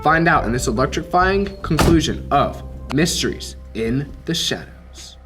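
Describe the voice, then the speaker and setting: A man's voice: on the high side, expressive, a little slow, over music. A young man giving dramatic scripted narration as the narrator of a radio mystery. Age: young adult.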